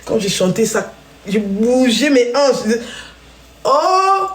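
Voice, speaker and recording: A woman's voice: on the high side, very animated, takes it slowly, echoey room. A Congolese woman hosting a live stream, chatting and telling stories straight to her viewers. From Congo (DRC). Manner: chatty, storytelling, addresses viewers directly. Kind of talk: casual live-stream chat.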